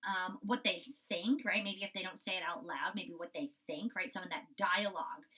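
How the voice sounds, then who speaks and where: A woman's voice: lowish voice, normal speed, pushed and bright, breathy edge, far from the mic. A woman primary school teacher giving a children's lesson and reading a storybook, instructive, stressing key words and often checking in.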